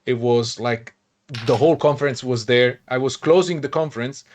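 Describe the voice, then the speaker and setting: A man, a podcast host, talking casually in a halting way with many restarts and fillers. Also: medium pitch, slight background noise. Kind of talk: casual panel chat.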